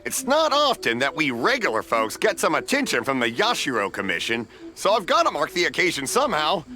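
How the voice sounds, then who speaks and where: An actor playing a friendly, generous middle-aged stallkeeper in a video game, with a hearty, folksy manner. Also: male voice, a little high, wildly swooping pitch, brisk, bright, forceful tone, exaggerated voice. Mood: generous, jovial. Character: friendly, generous older festival stallkeeper, man.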